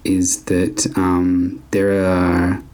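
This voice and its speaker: A man's voice: low voice, monotone, very slow, a little mellow. A man from Australia who teaches dance, talking in a reflective casual conversation, building long winding sentences and searching for words.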